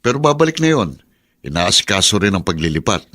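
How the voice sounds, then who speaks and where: A man's voice: on the low side, sing-song, fast talker, a bit bright. A man playing a helpful, persuasive older male cousin in a Filipino radio drama, coaxing and matter-of-fact.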